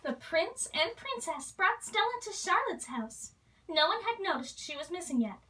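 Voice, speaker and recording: Very high-pitched woman reading a children's storybook. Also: big pitch swings, moderate pace, bright, forceful tone, far from the mic, slight background noise, low-quality recording. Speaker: children's story reader appearing as a princess. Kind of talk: expressive storybook read-aloud for children.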